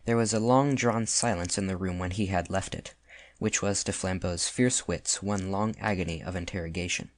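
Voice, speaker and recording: Very deep male audiobook narrator. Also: fairly even intonation, normal speed, no room sound. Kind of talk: scripted literary narration.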